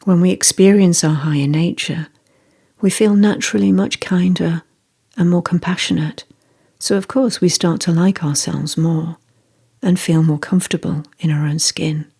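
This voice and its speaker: Meditation guide, breathy and husky, gravelly fry, soft measured delivery, scripted mindfulness narration. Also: mid-range voice, fairly even intonation.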